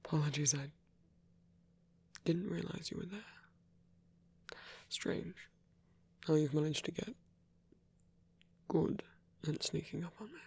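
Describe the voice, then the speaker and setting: A man playing a gentle, caring old vampire priest in an intimate ASMR roleplay, speaking in a halting, bashful, breathy whisper. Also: a little high, exaggerated voice. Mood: bashful, dry. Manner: halting, bashful, softly reassuring.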